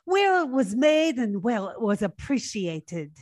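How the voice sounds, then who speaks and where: A woman's voice: fairly high pitch, very animated, a little mellow, no echo, studio quality. A woman playing a proud, defiant young French femme fatale with a French accent in an old-time radio drama. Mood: proud, fervent. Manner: haughty, indignant, deliberate. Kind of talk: 1940s radio drama acting.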